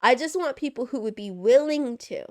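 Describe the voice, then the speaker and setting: Young woman, high voice, congested, playful cat-pun streamer, giggly. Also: animated, normal speed, no room sound, clean studio sound. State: congested, stuffy nose. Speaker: VTuber streamer. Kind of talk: casual livestream chat reading viewer messages.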